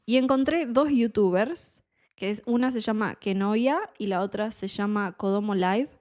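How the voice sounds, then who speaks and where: A young woman with a Buenos Aires Spanish accent, a Spanish tutor talking in a slow, clear teaching monologue and explaining words as she goes. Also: mid-range voice, darkish tone. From Argentina.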